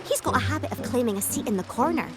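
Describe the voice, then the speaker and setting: A woman plays a feisty restaurant keeper in an anime game. Her high voice swings expressively up and down, and very loud music plays underneath. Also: rapid-fire, natural voice. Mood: curious, wry. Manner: expressive, animated.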